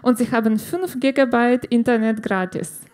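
A woman in her thirties from Ukraine, with a Ukrainian accent, doing a stand-up set on a club stage into a handheld mic, halting and restarting as she searches for words. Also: on the high side, a little mellow. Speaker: stand-up comedian. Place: comedy club stage with live audience.

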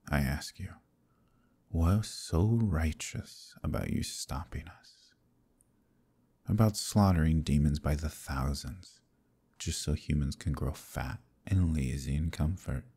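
A deep, gravelly demon king. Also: dark tone, exaggerated voice. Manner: slow, silky, menacing persuasion. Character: proud, scheming male demon king, smooth and possessive. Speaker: voice actor. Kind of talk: ASMR roleplay.

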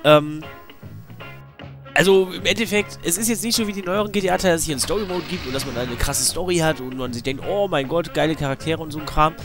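A man with a slight cold giving rambling, informal, slangy let's play commentary. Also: fairly high pitch. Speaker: let's player. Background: video game music.

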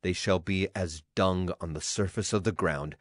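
A man's voice: low voice, fairly even intonation, normal speed, no echo, clean studio sound. A man acting a solemn prophet who speaks for a grieving, wrathful God in a dramatized scripture reading, declamatory in delivery. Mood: stern, condemning. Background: music behind the voice.

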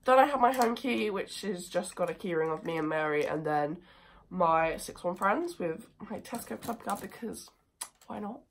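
A teenage girl from England with a cold and a croaky voice, chatting casually and with a self-mocking liveliness to a phone camera in her bedroom. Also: on the low side, lively intonation, moderate pace, slight background noise. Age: teens. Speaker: vlogger. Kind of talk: casual chat. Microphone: phone camera at desk distance.

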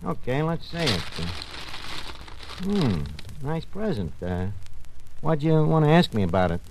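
An actor playing a sarcastic, streetwise tavern manager with folksy, ungrammatical patter in old radio comedy. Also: male voice, on the high side, very animated, a little slow, a little mellow. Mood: wry, bantering. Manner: folksy, sarcastic, malaprop-prone, hesitant patter. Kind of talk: 1940s radio comedy acting. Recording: noisy old-time radio recording.

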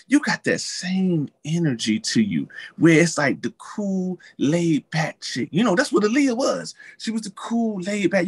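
A man's voice: fairly high pitch, husky. A middle-aged man hosting an interview over a video call, praising his guest effusively. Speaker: host.

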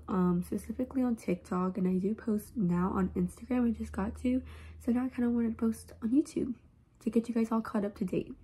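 A woman's voice: mid-range voice, mellow, slightly rough. A teenage girl with a stuffy, runny nose, chatting casually through a vanity tour at home, rambling with lots of fillers. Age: teens. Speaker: makeup vlogger. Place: bedroom at home. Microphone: phone camera.

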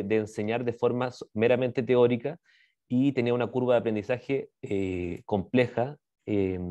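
Male lecturer, Chilean Spanish accent, middle-aged, medium pitch, hesitant explanatory delivery over a video call. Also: darkish tone. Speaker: university dentistry lecturer presenting a project. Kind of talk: explanatory slide presentation. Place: indoor room, on a video call. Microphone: video call microphone.

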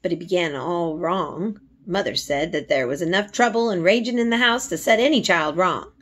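A woman voicing an anxious, excitable young Yorkshire housemaid from a storybook, exclaiming in dialect. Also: on the low side, moderate pace. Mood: gossiping. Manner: agitated dialect speech, exclaiming.

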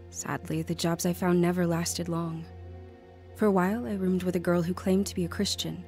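A woman's voice: on the low side, warm tone, close to an everyday voice. An actress playing a lonely, anxious young woman narrating her life story in an audio drama. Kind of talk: first-person dramatic narration.

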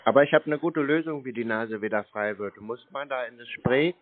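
A man chatting casually on a call, teasing in a deadpan way. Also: medium pitch, a little fast, narrow, tinny audio. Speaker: scam-baiter taking calls. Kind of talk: casual phone conversation.

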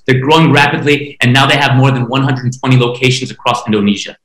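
A man from Taiwan moderates an online panel with a bright, forceful voice. He speaks from a roomy, echoing space over a video call. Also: medium pitch, steady tone, fast. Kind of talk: hosting an online panel discussion. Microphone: video call, laptop distance. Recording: echoey room on video call.

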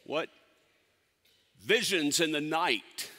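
A man performing a theatrical monologue as a solemn, commanding ancient prophet, speaking deliberately with dramatic pauses. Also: a little high, animated, bright, forceful tone, full character voice. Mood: hushed, probing. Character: prophet Isaiah, solemn, commanding, counseling a fearful king. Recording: echoey hall with long reverb.

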